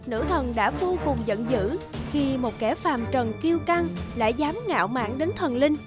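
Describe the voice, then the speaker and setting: A woman's voice: high voice, lively intonation, normal speed, over music. A woman reading scripted storybook narration as the narrator of a tale.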